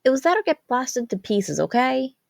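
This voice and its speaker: A woman's voice: high voice, very animated, moderate pace, creaky, no echo, studio quality, pitched above the performer's own voice, heavily acted. A woman playing a sheepish, evasive teenage boy in a story, talking casually with some hesitance and defensiveness. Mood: defensive.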